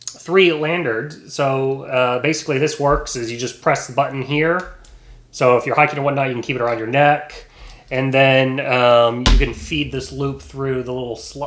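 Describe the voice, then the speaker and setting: A man giving a casual, unscripted product review, explaining features in a hesitant, halting way. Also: mid-range voice, fairly bright tone. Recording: echoey, roomy sound.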